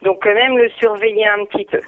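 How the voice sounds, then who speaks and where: A woman's voice: mid-range voice, steady tone, fairly quick. Woman on a muffled phone line. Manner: hesitant, repeats phrases, explanatory. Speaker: veterinary clinic receptionist or vet. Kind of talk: phone advice to a client. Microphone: telephone.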